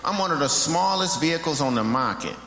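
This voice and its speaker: A man's voice: on the high side, expressive, brisk, pushed and bright, faint music behind. A man as an indignant little car, echoey stage. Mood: aggrieved complaining. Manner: aggrieved, protesting. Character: small Geo Metro car, indignant, complaining. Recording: echoey hall with clear noise.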